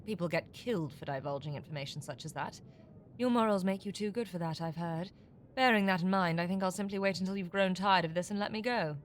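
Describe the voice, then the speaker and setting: A woman's voice: on the low side, fast, slight background noise, lightly acted. Woman acting a shrewd, sardonic female con artist. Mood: scornful, defiant. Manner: sardonic, evasive. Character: shrewd, defiant female con artist captive, opera singer. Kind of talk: audio drama acting.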